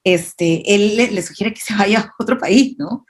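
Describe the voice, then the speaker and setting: A woman's voice: mid-range voice, a little slow. Thoughtful Peruvian woman explaining. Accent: Peruvian Spanish. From Peru. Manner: thoughtful, explanatory, with frequent tag questions. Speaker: guest. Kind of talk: conversational discussion.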